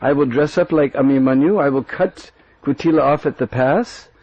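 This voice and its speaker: A man's voice: medium pitch, normal speed, a little mellow, dry recording, lightly acted. A man voicing a confident, playful young cowherd prince making a mischievous plan. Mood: reassuring. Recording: dull dry recording.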